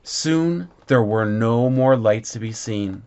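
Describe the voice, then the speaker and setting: A man reading a children's story aloud as a volunteer, in an expressive, dramatic, measured storytelling manner. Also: medium pitch, clean tone. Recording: dull, lo-fi recording.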